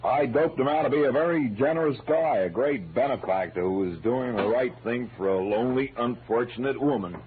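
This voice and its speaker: An actor playing a plain-spoken police detective lieutenant in a 1940s-style radio drama. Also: male voice, mid-range voice, a little fast, hardly any pauses, lightly acted. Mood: easygoing. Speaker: supporting actor. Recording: tinny, noisy old radio broadcast.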